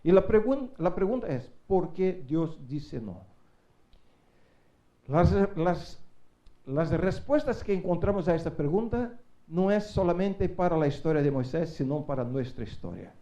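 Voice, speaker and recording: A middle-aged man preaching a sermon in a church, speaking Spanish as a second language and swinging from quiet asides to loud rising calls to the congregation. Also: on the high side, expressive, a little slow, lo-fi sound. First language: not Spanish. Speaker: guest preacher.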